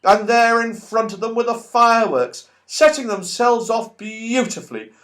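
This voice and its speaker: A man reading a children's story aloud as an audiobook narrator, expressive and animated. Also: very high voice, normal speed. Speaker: audiobook reader.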